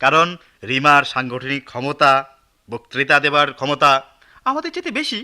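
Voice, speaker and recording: A man's voice: on the high side, big pitch swings, moderate pace, pushed and bright, heavily acted. A man playing an earnest, modern-minded young college son in a radio drama, reasoning persuasively with a Bangladeshi accent. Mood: earnest protest. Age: young adult.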